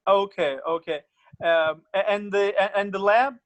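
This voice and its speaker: A young man from Afghanistan, a student asking his instructor questions on a video call, hesitant and halting. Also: high voice, steady tone, dry recording. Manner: hesitant, many fillers and restarts. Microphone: computer mic on a video call.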